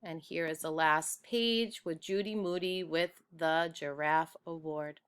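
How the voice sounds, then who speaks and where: Bright-voiced woman reading a children's storybook. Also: low voice, steady tone, a little slow, clean tone. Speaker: read-aloud narrator for children. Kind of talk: expressive children's storybook read-aloud.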